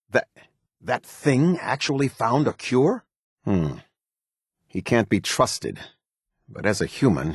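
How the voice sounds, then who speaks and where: A stern American military captain in middle age, speaks in a low, husky voice. He is formal and authoritative, with clipped orders and a pitch that moves widely. The recording is close and dry. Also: dry recording, heavily acted. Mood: disbelieving, cold. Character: stern, demanding middle-aged male military officer. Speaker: video game voice actor. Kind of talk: scripted game dialogue, military commander.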